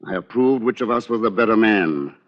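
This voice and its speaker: An actor playing a coldly arrogant solicitor in a vintage radio thriller, formal, articulate and sardonic, on an old radio recording. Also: male voice, fairly low pitch, animated, brisk, dull audio. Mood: cold, proud. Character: coldly arrogant English solicitor confessing to murder. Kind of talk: 1940s radio thriller acting.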